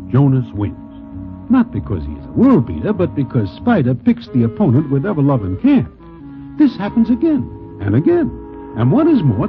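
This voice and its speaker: Swooping, streetwise New storyteller. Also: male voice, fairly high pitch. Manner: stiffly formal slang, avoids contractions. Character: streetwise Broadway guy narrating gangster tales, genial and wry. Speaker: narrator and lead character. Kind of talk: 1940s radio acting, slangy first-person storytelling. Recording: old 1940s radio recording, thin phone-like sound.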